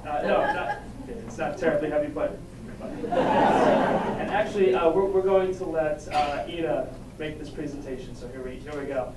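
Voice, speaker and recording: A male organizer giving a halting town hall speech full of restarts. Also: on the high side, heavy background noise. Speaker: volunteer organizer. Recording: echoey hall recorded from far off.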